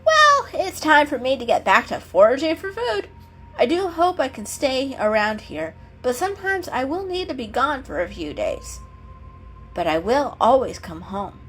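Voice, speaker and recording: A woman's voice: high voice, sing-song, normal speed, a bit bright, faint music behind, pitched above the performer's own voice, rough recording. A woman voicing a friendly male robin in a storybook, explaining things in a chatty, emphatic way. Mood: cheerful farewell.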